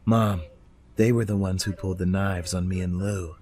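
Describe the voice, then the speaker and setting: A man's voice: sits low, steady tone, normal speed, darkish tone, lightly acted. An actor playing a guilt-ridden, troubled teenage boy who pleads and stammers in a horror audio drama. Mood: defensive.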